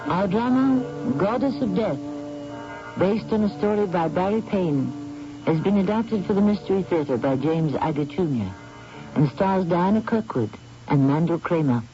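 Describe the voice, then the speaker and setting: A man hosting and narrating a radio drama in a measured, formal storytelling manner. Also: high voice, animated, over music. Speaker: host and narrator. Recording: dull old radio broadcast, faint hiss.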